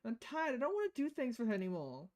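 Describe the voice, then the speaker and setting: A high, lively voice for a nervous teenage girl in a visual novel, put on by a woman. She stammers and pleads, trying to sound rebellious while staying hesitant. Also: fast talker. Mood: defiant, weary.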